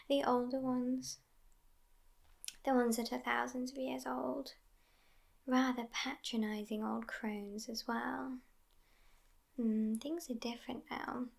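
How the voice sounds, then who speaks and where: Woman playing a slow, hushed vampire girlfriend. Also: a little high, vocal fry. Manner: languid, aloof, hushed, often near whispering. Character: sassy, haughty, centuries-old but youthful female vampire girlfriend. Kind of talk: soft-spoken ASMR roleplay.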